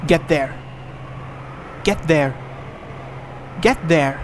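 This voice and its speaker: A man's voice: fairly high pitch, very slow, slight background noise. A man reading visual novel narration aloud dramatically, as a let's player.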